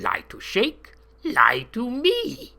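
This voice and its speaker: A man's voice: extremely high pitch, huge pitch swings, gravelly, pushed and bright, pitched above the performer's own voice, exaggerated voice. A man doing a growling brutal henchman with a thick foreign accent. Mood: snarling. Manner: growling, slow and heavy. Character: brutal skinny male henchman with broken English.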